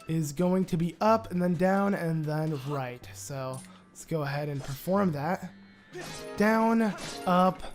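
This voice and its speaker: A man with a raspy throat from being sick, chatting casually as he plays through a game, rambling with lots of filler words. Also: on the high side, a little mellow. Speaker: let's play gamer. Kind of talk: casual gameplay commentary.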